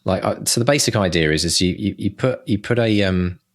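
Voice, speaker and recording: A man's voice: low voice, fairly quick. A man co-hosting a podcast in a casual, effusive, opinionated way. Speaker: podcast co-host.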